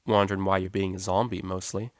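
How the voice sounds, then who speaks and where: A breezy young stallion acted by a man with a lowish voice. He talks in loose student slang, teasing and easygoing, in a dry storybook recording. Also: level tone, fast talker, no echo, natural voice. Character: laid-back young male pony student, teasing, forgiving.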